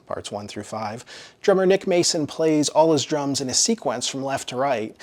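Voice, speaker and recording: Guy reading out a scripted review, medium-pitched voice. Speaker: audio equipment reviewer.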